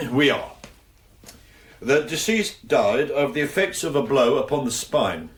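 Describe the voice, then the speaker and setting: A man's voice: medium pitch, expressive, lightly acted. A man playing a formal, solemn jury foreman in a radio drama. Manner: formal, deliberate. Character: solemn male jury foreman delivering verdict. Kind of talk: British radio drama acting. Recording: echoey, distant from microphone.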